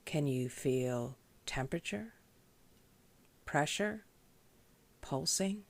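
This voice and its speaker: A woman leading a guided meditation as a teacher, speaking in a gentle, measured, instructional way. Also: low-pitched, expressive. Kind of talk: guided body scan meditation.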